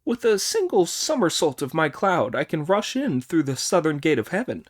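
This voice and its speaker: A man's voice: a little high, moderate pace, pitched above the performer's own voice. A man reading an audiobook, voicing a cheeky, boastful male monkey king who bosses the others around. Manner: boastful, commanding, excitable.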